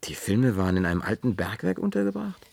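A man's voice: on the low side, very animated, fast talker, vocal fry, a little mellow, breathy edge, heavily acted. A man dubbing a wary, down-to-earth man who answers in a skeptical, curt way. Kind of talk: German film dub.

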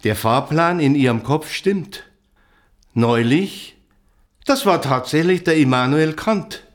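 An actor playing a jovial small-town innkeeper, chummy and teasing, in naturalistic drama dialogue. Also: male voice, fairly high pitch, takes it slowly. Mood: hearty. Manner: chummy, bantering, hearty.

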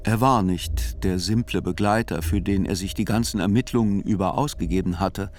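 A male narrator with a fairly low voice reads a literary chronicle. His delivery is measured and deliberate, with articulate phrasing suited to an audio drama. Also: a little mellow, soft background music.

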